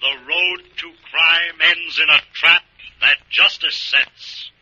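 An actor playing a stern, ominous avenger warning criminals in 1940s radio drama style. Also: male voice, a little high, fairly even intonation, slow pace, bright, forceful tone, husky, full character voice. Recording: muffled 1940s radio recording with music.

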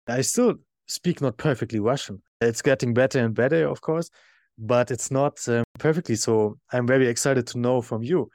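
A man's voice: medium pitch, no echo, studio quality. Chatty male podcast host. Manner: steady, chatty questioning with light fillers. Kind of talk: interview questions.

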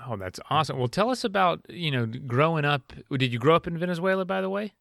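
A man hosting a podcast, talking in a relaxed, chatty way with casual slang. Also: fairly high pitch, fast, vocal fry, no room sound. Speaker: podcast host.

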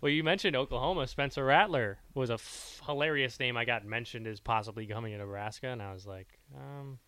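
A man's voice: medium pitch, a little fast, pushed and bright, clean tone, no echo. A young man hosting a radio sports show, chatting casually and laughing easily. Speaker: radio host and broadcaster.

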